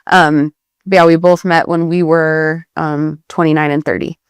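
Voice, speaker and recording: A woman in her thirties from Idaho talks easily and upbeat, filling her sentences with little fillers and restarts. Her voice sits on the low side and comes across smooth and clear, recorded close and dry with no room sound. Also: flat delivery, normal speed, no room sound. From Idaho, USA. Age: 30s. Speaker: real estate agent guest. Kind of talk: casual interview chat.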